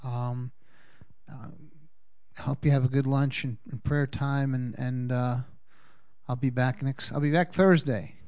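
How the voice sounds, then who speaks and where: A man leading a congregation, giving announcements and praying in a halting, folksy way with many fillers. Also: medium pitch, steady tone, darkish tone, clear, resonant tone. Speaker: congregation leader. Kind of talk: church announcements and prayer.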